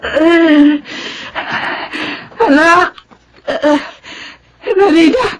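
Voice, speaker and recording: A woman acting a tender, ailing mother in a Filipino radio drama, speaking in a halting, weary, emotional way. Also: very high voice, over music, heavily acted. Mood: pained.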